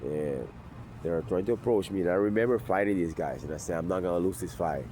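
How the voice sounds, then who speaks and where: Man, 40s, very low voice, blunt and intense, outdoor city, faint background noise. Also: fairly quick, warm tone. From Venezuela. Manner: blunt, intense, street-tough talk. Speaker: sobriety vlogger. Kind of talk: personal storytelling.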